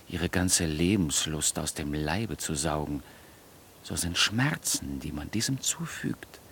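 An actor in a German radio play playing a stern, sharp-tongued religious ascetic who argues in a cutting, dogmatic way. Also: male voice, medium pitch, vocal fry, a little hiss or hum. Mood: scornful, severe.

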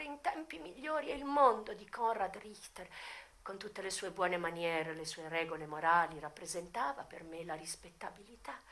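An actress playing an American brothel madam who recalls her hard youth in a bitter, frank, emotive theatrical monologue for radio. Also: mid-range voice. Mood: disillusioned, reflective. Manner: emotive, deliberate phrasing with sharp swings from hushed to loud. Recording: voice over music. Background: music.

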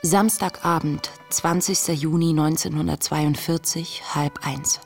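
Radio drama diarist: young woman, searching and contemplative, deep register with plenty of fry. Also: steady tone. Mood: thoughtful. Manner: contemplative, measured, intimate. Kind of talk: radio play, diary read as monologue. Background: music.

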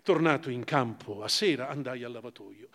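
A man giving a measured, expressive theatrical recitation of first-person literary prose, with deliberate pauses. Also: medium pitch, in a large hall. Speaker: actor reading literary prose. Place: theatre or hall, live performance.

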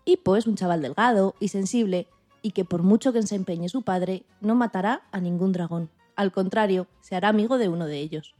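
Spanish woman from reading a story recap, mellow voice, bit of music behind. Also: medium pitch, brisk. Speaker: podcast host. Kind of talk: scripted narration of a story summary.

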